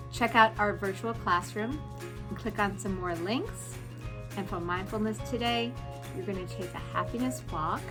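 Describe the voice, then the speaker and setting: A woman teacher giving class announcements and reading a story aloud with deliberate, expressive emphasis. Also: mid-range voice. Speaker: elementary school teacher.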